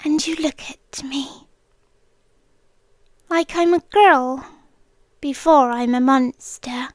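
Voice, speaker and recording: A woman's voice: high-pitched, slow, no echo, clean studio sound. A British woman playing a playful, tender, possessive young forest monster girl in an ASMR roleplay, teasing and intimate, often slipping toward a whisper. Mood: tender, longing.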